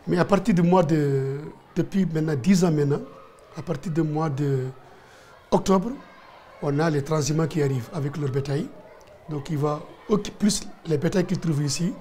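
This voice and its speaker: Higher-pitched man, faint noise behind. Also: darkish tone. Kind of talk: documentary interview explanation.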